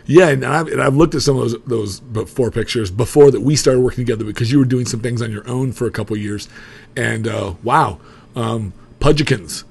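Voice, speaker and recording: A man's voice: medium pitch, fairly quick, gravelly, breathy edge. A man in his forties hosting a casual interview as a diet coach, rambling and restarting his sentences. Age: 40s.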